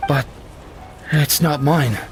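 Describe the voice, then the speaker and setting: A man's voice: fairly high pitch, takes it slowly, a little hiss or hum. An actor playing a grieving middle-aged man in a game cutscene, pleading in desperate cries. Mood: mournful. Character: grief-stricken middle-aged man mourning a lost love.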